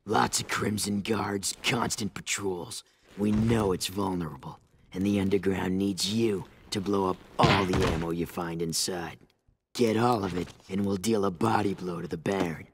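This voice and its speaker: An actor playing a gruff male rebel leader in a video game, who gives orders in a curt, scornful way. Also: low-pitched, steady tone, normal speed, a little hiss or hum. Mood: commanding. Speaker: game character.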